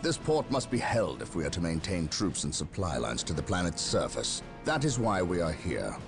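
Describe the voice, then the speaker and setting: Brief: a gravelly, deep warrior captain, hugely varied intonation, music under the lines. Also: male voice, very animated, moderate pace. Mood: commanding. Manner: commanding, curt orders. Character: proud, commanding male space-marine captain. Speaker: game character. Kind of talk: video game cutscene acting. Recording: game cutscene with music underneath.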